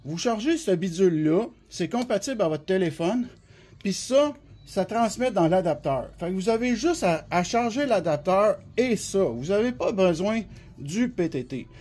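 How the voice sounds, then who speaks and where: A man's voice: sits high, animated, normal speed, a little mellow. A man with a Québécois French accent and a touch of flu, giving an informal, colloquial, step-by-step product explanation and often repeating himself. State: has the flu, coughing now and then. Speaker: CB radio specialist giving a product demonstration. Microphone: phone held by the speaker.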